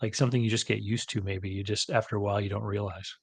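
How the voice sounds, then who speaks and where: Fast-talking man from Canada. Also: fairly low pitch, fairly even intonation, creaky, slightly rough, no room sound. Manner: brisk, friendly, explanatory storytelling. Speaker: podcast guest, business consultant. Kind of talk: conversational interview.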